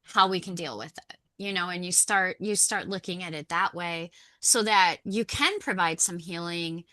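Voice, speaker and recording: A woman co-host with a bright, clear voice tells stories in a chatty way and acts out the voices of the people in them. The sound is dry and close, with no room around it. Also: on the low side, normal speed, no echo. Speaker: podcast co-host and tarot reader. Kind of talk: casual podcast conversation.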